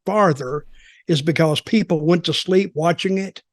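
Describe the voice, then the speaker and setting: A man's voice: fairly high pitch, moderate pace, no room sound. An older man in casual, rambling, opinionated talk about wrestling as a podcast commentator.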